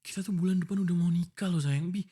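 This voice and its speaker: A man's voice: sits high, quick pace, dark tone, breathy edge. A young man with an Indonesian accent, voicing a frustrated, pleading boyfriend in an ASMR roleplay.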